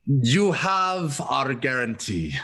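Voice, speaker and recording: A man playing a smooth, secretive seller of illicit androids in a radio play, formal and assuring in manner. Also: mid-range voice, sing-song, slow, pushed and bright, exaggerated voice. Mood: smoothly assuring. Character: smooth, secretive male salesman of illegal duplicate androids.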